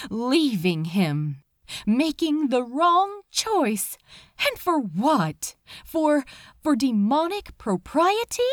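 A woman's voice: high-pitched, dry recording, studio quality. A woman reading a story aloud as a dramatic, emotive narrator with a sense of urgency. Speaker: fan fiction reader.

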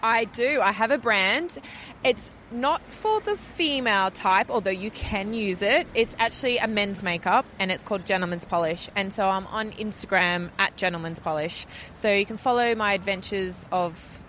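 Brief: woman, makeup artist guest, middle pitch, easygoing and chatty, faint background noise. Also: normal speed. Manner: chatty, warm laughs, trailing sentences. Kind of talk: casual chat.

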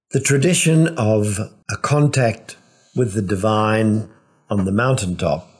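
A man, a priest and biblical scholar, giving a measured reflective homily in a lecturing way. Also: on the low side, darkish tone, slightly rough.

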